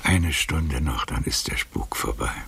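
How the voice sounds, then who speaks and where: Gravelly, very deep old cavalier. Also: male voice, animated, unhurried, sharp tone, full character voice. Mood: dreamy, astonished. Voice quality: very gravelly, husky, breathy old voice. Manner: theatrical, poetic, musing half to himself. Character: aged greying cavalier adventurer, weary, wry, dreamy romantic. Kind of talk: literary radio drama acting.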